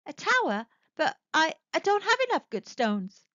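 A woman voicing a young male stonecutter, speaking in a high, earnest way with a stammer at times, on a dull, dry recording. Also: big pitch swings, normal speed, pushed and bright, dry recording, heavily acted. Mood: worried. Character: young male stonecutter, eager then anguished.

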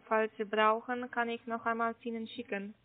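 A woman's voice: fairly high pitch, flat delivery, moderate pace. A woman call center agent making a sales phone call in German as a non-native speaker. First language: not German. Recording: muffled narrow phone line.

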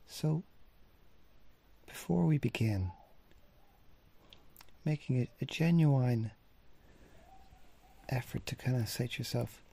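A man leading a guided body scan meditation in gently instructing, unhurried phrases with long pauses, his husky, breathy voice often trailing off into a near-whisper. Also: mid-range voice. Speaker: mindfulness meditation teacher.

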